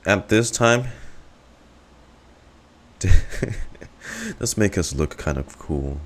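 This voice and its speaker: A man with a low voice streams a game and reacts casually. He comments offhand in short, chatty bursts, with faint noise behind him. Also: lively intonation, drawn-out words. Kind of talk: casual live gameplay reaction.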